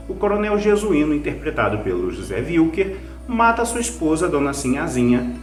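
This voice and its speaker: A man's voice: mid-range voice, roomy sound. A man with a Brazilian accent, hosting and narrating a scripted review. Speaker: host, writer and reviewer.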